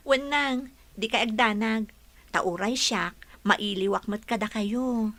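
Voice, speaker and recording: A woman's voice: a little high, takes it slowly, a bit bright. A woman playing a caring daughter who reassures her ailing mother in an Ilocano radio drama. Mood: reassuring.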